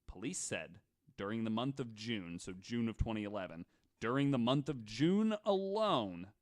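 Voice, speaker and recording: A man's voice: medium pitch, sing-song, normal speed. A man hosting a casual podcast, telling stories from his notes in a jokey, conversational way. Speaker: podcast host. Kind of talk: casual storytelling from notes with banter.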